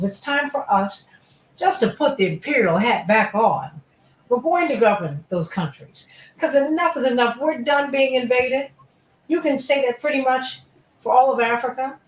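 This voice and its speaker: A woman's voice: a little high, roomy sound, poor audio. A woman hosting a radio talk show, introducing guests effusively with frequent fillers.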